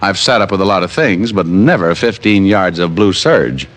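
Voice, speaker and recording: An actor playing a wry, unflappable private detective, narrating and trading wisecracks in old radio drama style. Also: male voice, low voice, animated, normal speed. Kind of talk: 1940s-50s radio detective drama acting, hardboiled narration. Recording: vintage radio recording with music behind.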